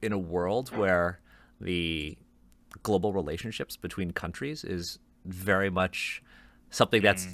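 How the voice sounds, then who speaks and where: Man, podcast co-host, low-ish voice, dry close mic, jokey. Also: unhurried, a bit bright, no echo. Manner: talkative, laughs often, jokey asides. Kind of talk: casual panel discussion.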